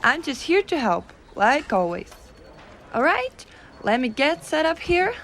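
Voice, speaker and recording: A woman playing a gentle, doting, subtly dominant giantess secretary in an intimate ASMR roleplay, reassuring and softly teasing the listener. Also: sits high, sing-song, a little slow, slight background noise, exaggerated voice. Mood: helpful, lightly teasing. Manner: soft, reassuring, teasing, coaxing.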